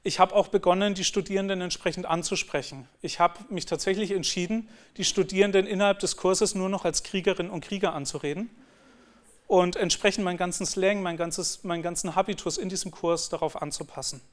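A man's voice: high voice, fairly even intonation, a little fast. A man giving a talk on teaching, explaining in an earnest way and often restarting his sentences. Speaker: lecturer.

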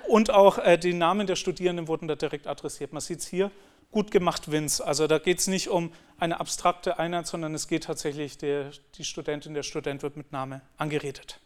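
A man's voice: on the high side, fairly even intonation, a little fast. A man giving a talk on teaching, explaining in an earnest way and often restarting his sentences. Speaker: lecturer.

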